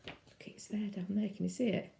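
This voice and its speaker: A woman's voice: mid-range voice, fairly even intonation, moderate pace, creaky, warm tone, echoey room, in a whisper. A woman reading a children's storybook aloud expressively, in a sing-song way, with asides to young listeners.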